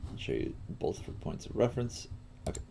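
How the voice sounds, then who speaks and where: A man with a lowish, very mellow voice teaches a casual coding lesson on a livestream. He works as he talks, halting and thinking aloud. There is faint noise behind him, with the sound of a keyboard. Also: big pitch swings, gravelly. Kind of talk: casual live coding tutorial.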